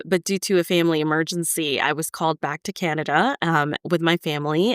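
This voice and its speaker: A woman speaks with a clear, smooth voice that sits slightly low. She is an interview guest from the Philippines, telling her story casually, recorded close and dry. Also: fairly bright tone, no echo. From Philippines, raised in South Korea and Egypt. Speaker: podcast guest, author and podcaster.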